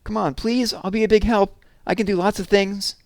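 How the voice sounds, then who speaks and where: A man's voice: high-pitched, fairly even intonation, normal speed, no room sound, clean studio sound, voice pushed up. A man voicing an eager young girl who pleads and insists in a raised voice. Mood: pleading.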